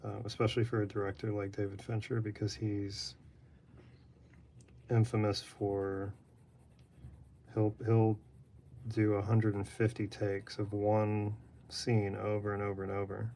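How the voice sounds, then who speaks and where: Monotone, gravelly low-voiced man. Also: unhurried, slight background noise. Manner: monotone, rambling. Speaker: artist drawing live. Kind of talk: casual chat while drawing. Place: apartment room.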